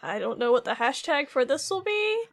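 A woman with a high voice hosts a podcast in casual chat. She is wry and self-deprecating, and the sound is dry and close. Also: very animated, moderate pace, dry recording. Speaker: podcast host.

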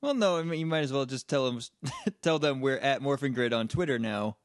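A man's voice: medium pitch, fairly quick, no echo, clean studio sound. A man co-hosting a casual podcast, trading wry, teasing banter. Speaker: podcast co-host. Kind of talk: casual chat.

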